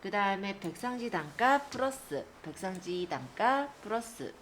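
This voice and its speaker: A woman teacher giving a step-by-step software tutorial, explaining politely to learners. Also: mid-range voice, animated, a little slow, a little hiss or hum.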